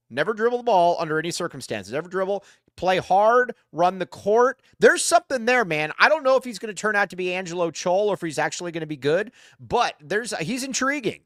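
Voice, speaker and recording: A man co-hosting a sports podcast, joking and animated, often talking over his co-host. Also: high-pitched, no room sound, clean studio sound. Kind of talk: casual sports chat.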